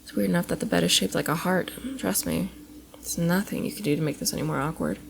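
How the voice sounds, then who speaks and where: A woman playing a flustered, affectionate young cosplayer in an intimate ASMR roleplay, coy and giggly, often dropping into soft breathy murmurs. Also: on the low side, fast, a little hiss or hum. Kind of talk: ASMR roleplay, intimate one-sided dialogue to the listener.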